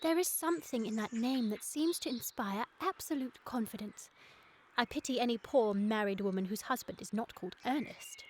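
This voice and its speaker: A woman's voice: fairly high pitch, normal speed, breathy edge. A British woman playing a sweet, dreamy young lady in a comic period radio play, speaking in a prim, precise way. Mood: dreamy, coquettish.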